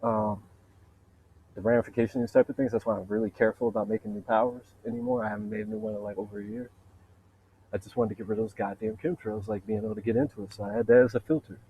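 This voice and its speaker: A man with a deep voice in a rambling, profane, boastful solo monologue, wandering from point to point. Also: fairly even intonation, fast, phone-quality sound, slight background noise. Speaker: vlogger.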